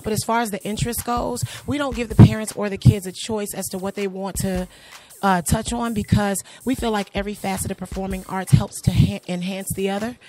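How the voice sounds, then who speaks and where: A middle-aged woman with a naturally raspy voice, a singer and youth arts instructor answering interview questions in a relaxed, chatty way with many fillers, recorded in a small studio on a handheld mic. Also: medium pitch, fairly quick, faint music behind. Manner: relaxed, chatty, trailing off with fillers, light laughs. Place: small studio in front of a logo backdrop. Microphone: handheld mic held by interviewer.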